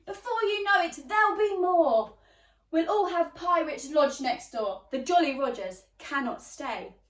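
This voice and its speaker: A woman's voice: very high-pitched, expressive, measured pace, distant mic. A woman voicing indignant storybook townsfolk, dramatic, scandalised and emphatic as they complain. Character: indignant townsfolk complaining about pirate neighbours. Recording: roomy, echoey, away from the mic.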